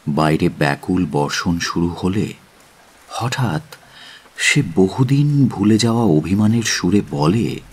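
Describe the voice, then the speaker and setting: Casting: male storyteller, deep and unhurried, giving dramatic, literary narration in measured phrases. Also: lively intonation, slightly rough.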